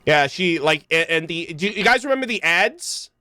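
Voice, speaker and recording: A man's voice: on the high side, expressive, moderate pace, sharp tone, no echo. A man giving casual livestream commentary on a game in an enthusiastic, gushing way. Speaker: game streamer. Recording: game music behind.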